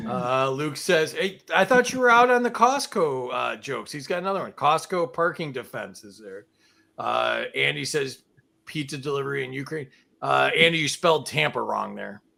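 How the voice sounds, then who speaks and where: A man's voice: medium pitch, lively intonation, moderate pace, creaky, pushed and bright. A man hosting a casual online game show, joking, laughing often and reading out chat comments.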